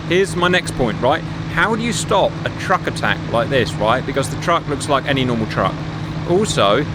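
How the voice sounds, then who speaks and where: A man hosting a gaming session, chatting and joking in a rambling, casual way. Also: a little high. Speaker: host of a flight-sim gaming channel. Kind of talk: casual gameplay chat with commentary. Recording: loud game engine noise.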